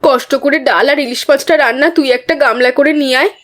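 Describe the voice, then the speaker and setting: A woman playing an earnest, expressive young village food-stall keeper in a cartoon. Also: high voice, fast, very noisy, close to an everyday voice. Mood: busy, friendly. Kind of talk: cartoon voice acting. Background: background music.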